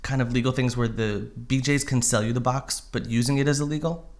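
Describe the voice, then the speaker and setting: A man's voice: mid-range voice, steady tone. A man in his thirties from Connecticut, a guest writer telling casual, self-deprecating stories in a studio interview. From Connecticut, USA. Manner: quick, chatty, self-deprecating anecdotes. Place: studio in New York.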